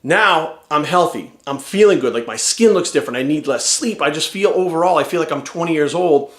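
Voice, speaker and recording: A man in his forties giving an energetic, rambling testimonial to camera. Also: a little high, a little fast, echoey room. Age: 40s.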